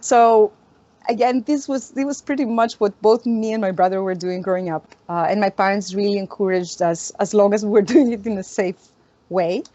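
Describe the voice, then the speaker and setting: A woman tells stories in an animated way and often laughs as she answers interview questions. The sound is dry and close, with no room echo, but comes through as a muffled video call. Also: medium pitch, normal speed. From Greece. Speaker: astronomer, guest.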